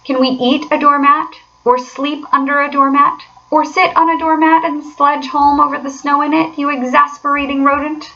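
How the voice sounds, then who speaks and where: A woman's voice: high voice, little pitch movement, moderate pace, few pauses, pushed and bright, lo-fi sound, voice pushed up. A woman voicing a miserable, grumbling male mole in a storybook, with plaintive and sarcastic delivery. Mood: pettish, sarcastic.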